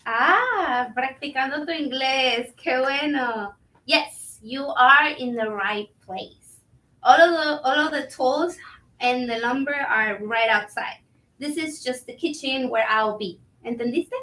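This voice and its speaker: A woman's voice: sits high, takes it slowly, pushed and bright, heavily acted. A woman playing a polite, dutiful immigrant kitchen cook in a staged reading, with a Latin American Spanish accent. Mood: chatty, friendly. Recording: roomy, distant microphone.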